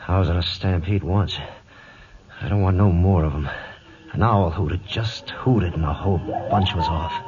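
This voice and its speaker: Uneasy low-voiced cowhand. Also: male voice, wildly swooping pitch, moderate pace, background noise. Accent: American Western. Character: skittish, uneasy cowhand haunted by stampedes. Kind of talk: 1940s radio western acting. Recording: dull old 1940s radio broadcast.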